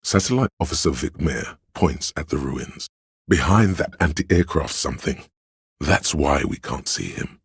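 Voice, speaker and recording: A man with a gravelly, husky voice, narrating a video game in a measured, solemn, literary and dramatic style. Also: rumbling low, lively intonation, no room sound, studio quality. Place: close, dry studio.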